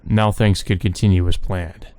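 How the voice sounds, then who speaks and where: A man's voice: low-pitched, flat delivery, normal speed, mellow, clear, resonant tone, dry recording. A man reading visual novel story text aloud as narration for a let's play.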